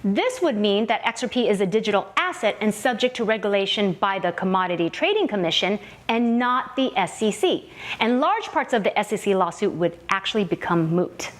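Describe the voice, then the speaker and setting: A woman's voice: mid-range voice, animated, brisk. A woman reading scripted explanatory narration.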